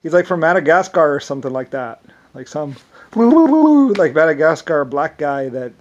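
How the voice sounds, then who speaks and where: A man with an Irish accent talking in a rambling monologue, halting often with restarts and fillers. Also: a little high, sing-song, highs cut off. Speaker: self-described inventor.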